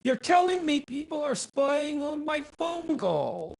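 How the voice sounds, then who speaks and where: A man voicing a befuddled, indignant phone caller through a voice changer, halting, repetitive and argumentative on an improvised prank call. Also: extremely high pitch, big pitch swings, vocal fry, exaggerated voice. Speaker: scambaiter.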